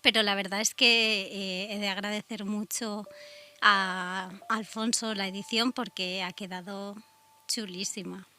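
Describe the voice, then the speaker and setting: A woman co-hosting a true-crime radio talk in a bright, crisp-edged voice of medium pitch. She speaks conversationally with an accent from Spain. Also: steady tone, unhurried, a little hiss or hum. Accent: Spain Spanish. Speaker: radio show co-host.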